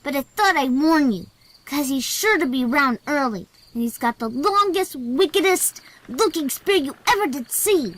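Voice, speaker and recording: A woman playing an excited young village boy who talks in an eager, insistent way. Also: high voice, moderate pace, poor audio. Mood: teasing.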